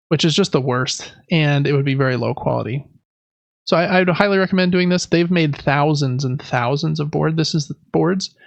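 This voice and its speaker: A man's voice: fairly high pitch, gravelly, no echo, lo-fi sound. A man chatting casually and enthusiastically as a podcast host. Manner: enthusiastic, informal. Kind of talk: casual board game podcast chat.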